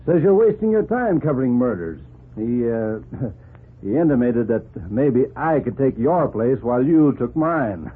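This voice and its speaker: An actor in a 1940s radio drama playing a gruff but approving newspaper editor, emphatic and bossy. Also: male voice, medium pitch, sing-song, unhurried. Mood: approving, animated. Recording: narrow old 1940s radio recording.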